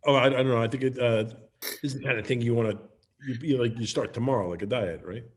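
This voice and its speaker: A man's voice: medium pitch, a little hiss or hum, lightly acted. A man playing a sheepish, evasive, affectionate sitcom husband who stammers and hedges through a comedic script read. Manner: stammering, halting, hedging. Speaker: sitcom actor at a cast reunion table read.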